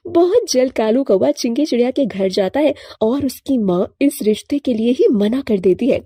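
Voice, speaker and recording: A woman storyteller narrates a scripted tale. Her voice sits fairly high with a soft, mellow tone, and the recording is close and very dry. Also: expressive, normal speed, slightly rough, no echo.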